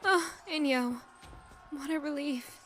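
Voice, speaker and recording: A woman playing a gentle, earnest peasant heroine in an audio drama, with a tentative, questioning manner. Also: high-pitched, slow. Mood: surprised, curious. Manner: soft-hearted, tentative, questioning.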